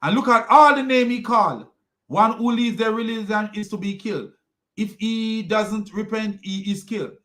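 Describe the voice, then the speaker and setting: High-voiced Jamaican man ranting. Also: moderate pace, a bit bright. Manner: mocking and scornful, with repeated insults; rambling, with many restarts. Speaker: religious commentary video host. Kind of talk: ranting online commentary.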